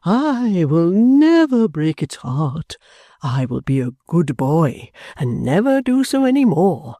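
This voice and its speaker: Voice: medium pitch, very animated, slow pace, warm tone, no echo, clean studio sound. A reader voicing an affected, foppish man pleading in wheedling baby talk and mild oaths.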